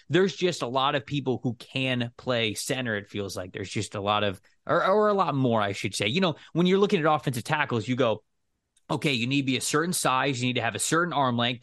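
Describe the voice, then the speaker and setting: A man's voice: mid-range voice, a bit bright, no echo, studio quality. A man co-hosting a sports podcast, talking through football analysis casually and explaining his points with careful clarifications.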